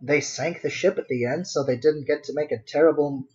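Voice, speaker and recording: A man with a slightly high voice talks casually to himself and his viewers as he draws on a livestream. He rambles along with a playful, dramatic streak. The microphone sound is a little dull and lo-fi. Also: a little fast, darkish tone. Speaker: streamer, digital artist. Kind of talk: casual livestream chat while drawing.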